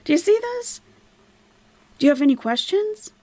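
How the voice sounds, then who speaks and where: A woman's voice: extremely high pitch, very animated, moderate pace, dark tone, dry recording. A woman hosting a casual live commentary stream, explaining things emphatically to viewers and asking rhetorical questions. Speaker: livestream host, YouTube commentator.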